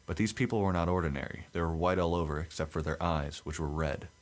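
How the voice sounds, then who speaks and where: A young man with a deep radio-like voice, co-hosting a casual podcast and reading a creepy story aloud. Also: fairly even intonation, fast. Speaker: podcast co-host.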